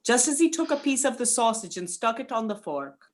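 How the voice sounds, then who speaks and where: A woman's voice: a little high, very animated, fairly quick. A woman narrating a scripted folktale as a dramatic storyteller for a radio play.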